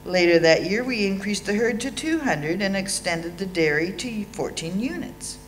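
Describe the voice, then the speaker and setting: An Australian woman in her sixties reading a prepared eulogy aloud in a measured, dryly understated way. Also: medium pitch, expressive, a little hiss or hum. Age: 60s. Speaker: eulogy reader at a memorial. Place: indoor hall.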